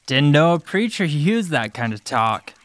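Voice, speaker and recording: A man's voice: a little high, sing-song, unhurried. A man playing a skeptical, blunt small-town teenage boy with a folksy, challenging manner in an audiobook drama.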